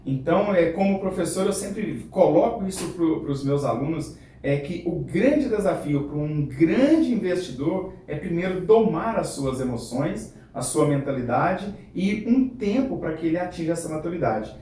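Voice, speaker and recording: Animated man, far off in a very echoey room. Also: a little high, moderate pace, hardly any pauses, slight background noise. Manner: animated, many fillers like "é" and "né". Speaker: channel host and interviewer. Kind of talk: interview, enthusiastic introduction.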